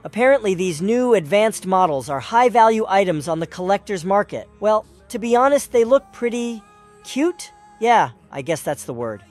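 A woman's voice: medium pitch, lively intonation, moderate pace, a little mellow, close to an everyday voice. A woman voicing a shy, stammering young male night guard, over music. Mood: narrating. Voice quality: stammering, nervous. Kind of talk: comic dub acting.

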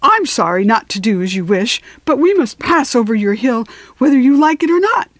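Woman playing a polite, determined scarecrow, lifted pitch. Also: very animated, normal speed, breathy edge, no echo. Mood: politely stubborn. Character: male living scarecrow, polite but determined leader.

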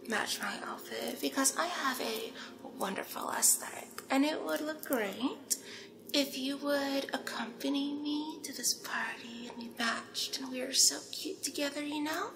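A woman playing a seductive, teasing demoness hostess in an ASMR roleplay, speaking in breathy half-whispers and lingering on repeated words. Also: sits high, full character voice. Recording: roomy echo, clear background noise.

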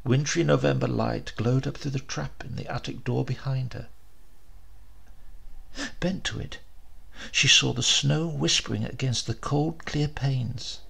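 A man narrating a scripted story as an audiobook reader, with deliberate, theatrical phrasing. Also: medium pitch, vocal fry, a bit bright, husky.

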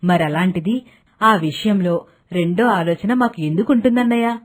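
A woman's voice: medium pitch, moderate pace, close to an everyday voice. A woman playing a kindly, naive middle-aged village mother who talks in a chatty, affectionate way. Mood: agreeable, assuring.

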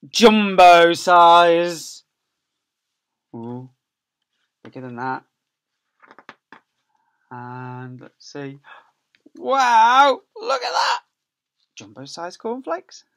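A man's voice: sits high, huge pitch swings, extremely slow, vocal fry, pauses often, fairly bright tone, a little hiss or hum. Playful middle-aged man reading to children. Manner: playful, stretches key words and makes sound effects. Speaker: school teacher. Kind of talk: reading a picture book aloud to young children. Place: small indoor office. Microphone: laptop webcam at close range.

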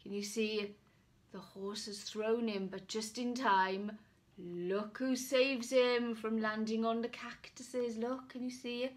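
A woman's voice: a little high, slow pace. A woman in her forties reading a picture book aloud to young children, dramatic and coaxing. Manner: animated, dramatic, coaxing questions to listeners. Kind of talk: expressive storybook read-aloud to young children. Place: small room at home.

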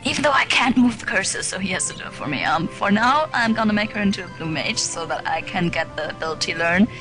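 A woman's voice: medium pitch, expressive, fast. A woman co-hosting a video game playthrough, casually explaining her plans and laughing along. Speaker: let's play co-host. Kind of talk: casual gameplay chat. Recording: game music underneath.

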